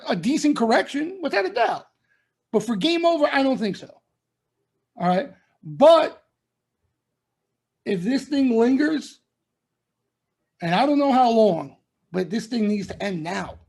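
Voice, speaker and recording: A man's voice: very high-pitched, darkish tone, breathy edge. Emphatic man lecturing traders. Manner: emphatic, repeats points, lectures and warns listeners. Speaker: stock trading commentator. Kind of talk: members-only market broadcast.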